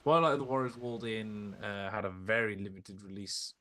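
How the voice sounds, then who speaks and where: A man's voice: fairly low pitch, fairly even intonation, brisk, a bit bright. A man co-hosting a film podcast in casual conversation.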